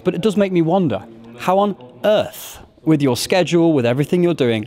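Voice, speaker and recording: A man's voice: mid-range voice, a little mellow. A man interviewing a guest, asking curious, chatty questions.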